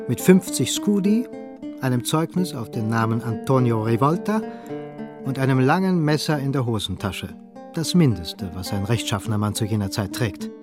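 A man narrating a literary radio drama as a scripted storyteller. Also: mid-range voice.

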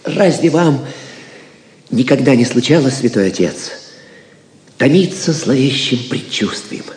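A man's voice: mid-range voice, lively intonation, a little slow, darkish tone, close to an everyday voice. Earnest young knight in echoey room, played by a man. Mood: uneasy, pleading. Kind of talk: theatrical audio drama acting.

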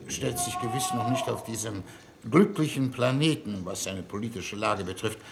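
A man's voice: lowish voice, moderate pace, gravelly. An actor playing a solemn, pompous statesman in a 1960s German-language radio drama, formal and declamatory. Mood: lofty, insistent. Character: solemn, pompous statesman heading a diplomatic space mission. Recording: slightly noisy 1960s radio production.

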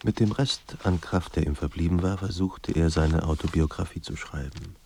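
A man narrating a literary radio drama about a despairing writer in a grave, measured, introspective manner. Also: low voice, creaky, dark tone, slight background noise. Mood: brooding. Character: somber male narrator of a despairing writer's life. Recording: music under the voice. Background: music.

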